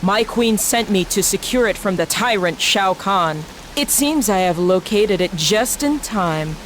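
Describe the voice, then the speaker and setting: A woman's voice: medium pitch, animated, unhurried, slight background noise, close to an everyday voice. An actress playing a stern female warrior loyal to her queen in a video game, speaking formally and in challenge. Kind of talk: video game cutscene acting.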